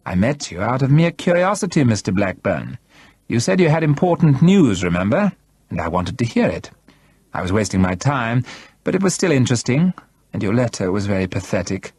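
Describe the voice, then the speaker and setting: A man's voice: medium pitch, very animated, a little fast, darkish tone, husky, no room sound. A man voicing a mocking, coolly menacing male villain in an audiobook, casual, scornful and mock-polite. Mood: oddly friendly menace. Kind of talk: audiobook character acting.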